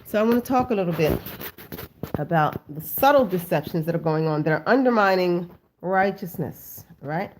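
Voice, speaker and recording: A woman's voice: fairly low pitch, expressive, moderate pace, slight background noise, poor audio. A woman in her thirties hosting a casual talk for her viewers. She has a cold and sounds stuffy and sniffly, and she talks in a loose, chatty way full of "like" and "um". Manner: chatty, lots of "like" and "um", addresses viewers as family. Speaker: host.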